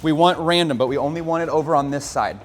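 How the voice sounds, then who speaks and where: A man's voice: fairly high pitch, quick pace, long reverb tail, faint music behind. An American man hosting a casual hobby vlog, upbeat and coaching, explaining each step plainly. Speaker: aquarium hobbyist host.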